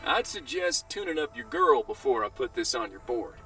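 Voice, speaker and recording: A folksy, upbeat male dispatcher briefing over the radio in a video game. His voice swings widely in pitch. It sounds a little distant, with faint music behind. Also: moderate pace, creaky, heavily acted. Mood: briskly warning. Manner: breezy, animated, briefing. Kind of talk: animated video game acting.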